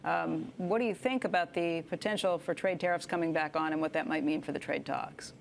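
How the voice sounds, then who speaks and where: A woman in her thirties anchoring business news on TV, with a crisp scripted delivery, then interviewing a guest. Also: sits low, fairly quick, a little hiss or hum. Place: TV studio.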